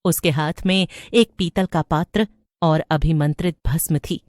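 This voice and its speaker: Lady narrator for a scary story, slightly low voice, close dry recording. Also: steady tone, a little slow, darkish tone, dry recording. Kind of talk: scripted horror story narration.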